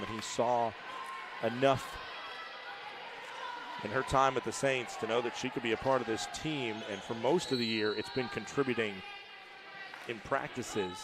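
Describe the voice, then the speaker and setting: A man doing live play-by-play commentary on a college volleyball match, who rises to shouts on big plays. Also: mid-range voice, background noise.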